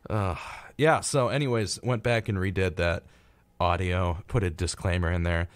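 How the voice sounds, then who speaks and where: A man's voice: sits low, fairly even intonation, normal speed, no echo. A young man co-hosting a radio show, chatting casually and jokily and acting out imagined remarks. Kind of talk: casual chat.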